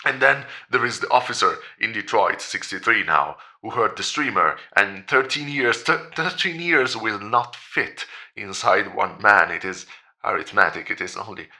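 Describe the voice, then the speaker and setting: Male actor as a terrified male priest for audio horror: strained, forceful edge, halting stammer, desperately clinging to reason. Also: on the low side, lively intonation, full character voice. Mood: panicked disbelief. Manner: stammering, breathless, panicked. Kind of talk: horror audio drama acting.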